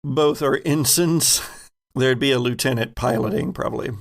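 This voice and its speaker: A man working as game master, narrating improvised tabletop scenes in a measured, halting, descriptive way. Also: mid-range voice.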